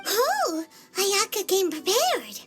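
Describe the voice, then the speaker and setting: A woman's voice: extremely high pitch, huge pitch swings, slow pace, fairly bright tone, soft background music, full character voice. A woman voicing a tiny, eager, childlike fairy companion in an English anime game dub, with a chirpy way of talking. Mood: playful, curious. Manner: chirpy, speaks of self in third person.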